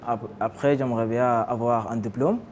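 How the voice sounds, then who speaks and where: A man from Sudan, now a refugee trainee, gives his testimony in short, halting sentences. A faint hiss of room noise runs under him. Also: mid-range voice, steady tone, a little mellow. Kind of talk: testimony interview.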